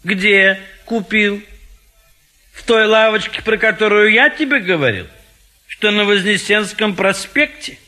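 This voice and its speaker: An actor playing a fussy, indecisive gentleman bachelor in a classic comedy audio play, hesitant and given to repeating himself. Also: high-pitched, animated, measured pace, faint music behind, full character voice. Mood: imperious.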